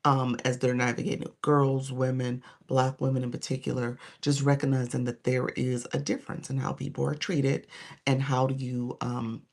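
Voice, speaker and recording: A hoarse, tired woman coaching on a phone live stream, greeting viewers by name in casual chat between her points. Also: a bass voice, little pitch movement, normal speed, a little mellow.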